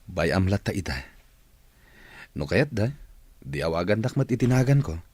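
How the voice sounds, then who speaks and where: A man acts out a bossy, lovestruck company boss in an Ilocano radio comedy. His low voice is rough-edged and swings widely in pitch, with an Ilocano accent, recorded close and dry. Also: very animated, normal speed, darkish tone, dry recording. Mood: earnest, smitten. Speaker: actor in radio comedy drama.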